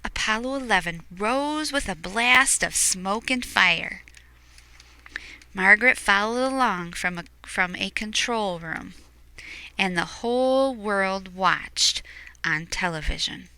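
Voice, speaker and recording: Bright, clear woman reading a storybook aloud. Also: mid-range voice, slow pace. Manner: expressive, with hushed asides to young listeners. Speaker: picture book reader. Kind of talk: storybook read-aloud with explanatory asides.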